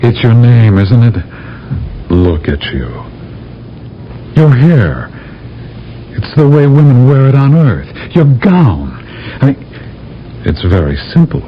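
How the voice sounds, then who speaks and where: A man's voice: mid-range voice, very animated, takes it slowly, pauses often. An actor playing a persuasive astronaut from Earth in a 1970s radio drama, insistent and theatrical in his delivery. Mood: coaxing. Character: bold, persuasive male astronaut envoy from Earth. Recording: dull, noisy old radio broadcast recording.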